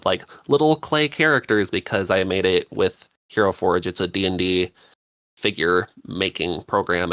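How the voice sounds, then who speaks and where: Male panelist, low register, dry recording, casual and enthusiastic podcast chat. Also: bright, forceful tone, dry recording.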